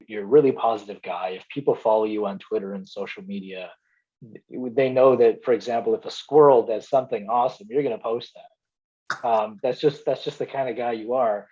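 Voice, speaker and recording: Husky male host, lo-fi sound. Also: medium pitch, warm tone. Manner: enthusiastic, chatty. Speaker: show host. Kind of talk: upbeat hosting banter.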